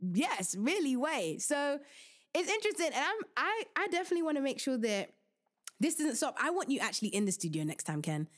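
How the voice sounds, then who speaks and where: Animated, joking woman podcast host. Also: high-pitched, a little fast. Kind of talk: casual advice talk.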